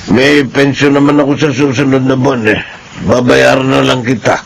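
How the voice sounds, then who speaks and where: A man's voice: mid-range voice, steady tone, normal speed, vocal fry, close to an everyday voice. A man playing a sickly, needy elderly father in a radio drama. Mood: pleading. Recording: noticeable background noise.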